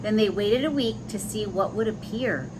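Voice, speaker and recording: A woman reading a children's picture book aloud, pausing to explain the pictures in simple words for young listeners. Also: mid-range voice, background noise.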